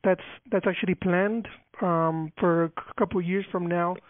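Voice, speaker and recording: A man with a high voice explains official procedure over the phone. He halts often with fillers and restarts. The line is tinny and thin, with a dry, close sound. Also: steady tone, moderate pace, dry recording. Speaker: transportation department official. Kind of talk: phone call.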